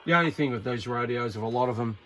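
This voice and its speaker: A man's voice: medium pitch, fast talker, a bit bright, slight background noise. A man of about sixty with an Australian accent, rambling casually with many uhs and ums as he shows off an old radio to his viewers. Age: around 60. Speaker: radio hobbyist showing a CB set. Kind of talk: casual show-and-tell to camera.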